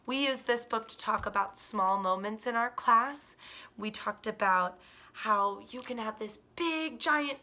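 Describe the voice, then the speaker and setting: A woman in her thirties, a kindergarten teacher, reading a picture book aloud to children in an animated, expressive way. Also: fairly high pitch. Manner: animated, expressive, drawn-out emphasis on words. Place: small living room by a fireplace, indoors.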